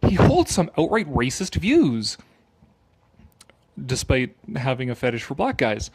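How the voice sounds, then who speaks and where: A man doing a dramatic reading with off-the-cuff commentary, animated and sarcastic in his manner. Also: medium pitch, measured pace, husky, no room sound.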